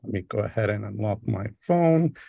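A middle-aged man from Greece with a Greek accent, giving a relaxed, conversational lecture over a video call, with frequent fillers. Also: on the low side, moderate pace, gravelly, slightly rough, dry recording, lo-fi sound. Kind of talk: remote academic lecture. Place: home or office on a video call. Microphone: video call microphone.

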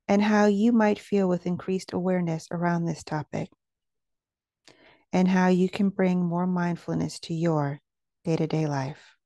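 A woman leading a guided meditation, speaking in a slow, soothing and measured way as she gives gentle instructions. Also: lowish voice, dry recording, studio quality.

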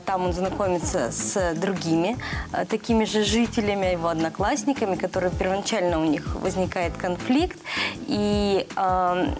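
A woman in her thirties explaining things as a guest in a studio interview, in a halting, pause-filled delivery. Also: medium pitch. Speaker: guest, animation festival organizer. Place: TV studio, seated. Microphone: lapel mic.

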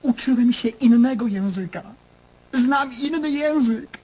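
An actor in a radio play voicing an anguished, self-questioning middle-aged man, brooding and intense, speaking in halting monologues. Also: very high voice, darkish tone, husky, heavily acted. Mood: agitated, bitter.

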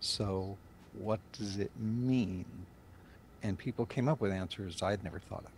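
An older man, a director, speaking emphatically and deliberately in a panel interview over a noisy video call. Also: fairly low pitch, gravelly, a little mellow. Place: indoor room, on a video call.

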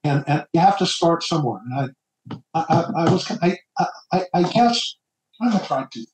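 A middle-aged man from New Jersey, an author guest on a casual podcast, talking in a halting way with many stammered repeats. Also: fairly high pitch, big pitch swings, noisy background. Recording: echoey room.

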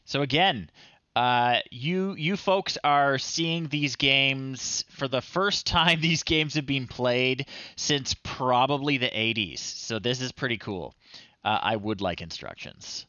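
A man's voice: medium pitch, takes it slowly, sharp tone, no echo, muffled recording. A middle-aged man casually commentating a live game stream, reading screen text aloud in a wry, off-the-cuff way, close on the mic. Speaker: retro game streamer, host. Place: small indoor room, livestream setup.

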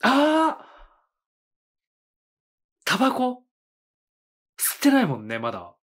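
A man's voice: very high-pitched, huge pitch swings, takes it slowly, fairly bright tone. A man in his thirties hosting an anime reaction video, musing aloud with short reactions and laughs in a small indoor studio. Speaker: anime reaction channel host. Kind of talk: casual reaction commentary.

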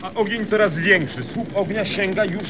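An actor playing a bored, lonely and self-pitying son in a comic radio play. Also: male voice, high voice, normal speed, crowd noise, lightly acted. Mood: excited. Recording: radio play with clear background noise.

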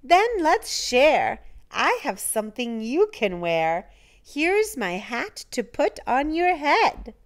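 A woman's voice: high-pitched, big pitch swings, slow pace, heavily acted. A woman voicing helpful, eager young children in a storybook, exclaiming with emphasis. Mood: generous.

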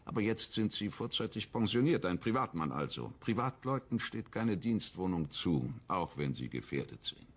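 An actor playing a stern, condescending government minister in a radio crime drama, curt and lecturing. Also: male voice, low-pitched, big pitch swings, normal speed, few pauses, breathy edge, full character voice. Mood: cool, condescending.

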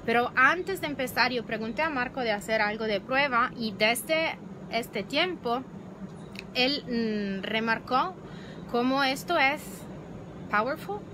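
A woman in her thirties, a native Italian speaker, talks in Spanish that she is still searching for, with pauses and restarts. Her voice sits a little high. She is recorded on a phone held at arm's length on an outdoor patio, with clear background noise behind her. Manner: searching for words, slow and hesitant. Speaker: dog behavior researcher. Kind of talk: live video chat. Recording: noisy outdoor phone stream.

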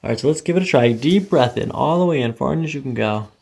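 Very gravelly man calmly giving instructions. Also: mid-range voice, quick pace. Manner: gentle, reassuring. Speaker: chiropractor. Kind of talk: calm step-by-step instruction to a patient during treatment. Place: small office treatment room, indoors. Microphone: microphone on boom arm near the table.

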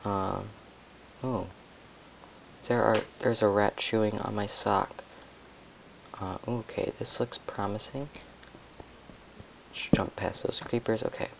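A young man with a hoarse voice, chatting and rambling to viewers as he comments on his own gameplay. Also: on the low side, fairly even intonation, takes it slowly, long pauses, slight background noise, low-quality recording. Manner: chatty, rambling, talks to viewers while playing.

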